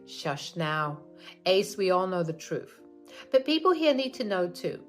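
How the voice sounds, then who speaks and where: A woman voicing a greedy, spiteful middle-aged mother-in-law who talks in a shrill, scornful, entitled way. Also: big pitch swings, a little slow, soft background music.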